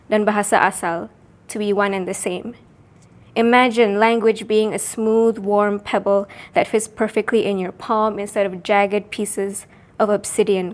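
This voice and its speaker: A woman from Sarawak, Malaysia, performing a spoken word poem in a measured, deliberate way, pausing between phrases. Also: medium pitch.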